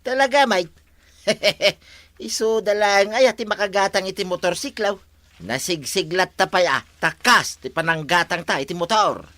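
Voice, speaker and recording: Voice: mid-range voice, moderate pace, pushed and bright, dry recording, close to an everyday voice. An actor playing a cheerful man proud of a new motorcycle in a radio comedy drama. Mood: boastful.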